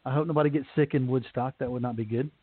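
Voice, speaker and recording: A man's voice: mid-range voice, steady tone, fast talker, husky, no echo. A man from Alabama with a Southern accent, hosting a radio show in a folksy, joking way. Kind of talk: casual radio chat. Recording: tinny phone line.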